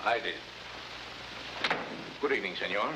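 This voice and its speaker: An actor playing a courteous but guarded police lieutenant in a 1950s TV drama, formal and clipped. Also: male voice, lowish voice, a little slow, close to an everyday voice. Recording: noisy, hissy 1950s TV soundtrack.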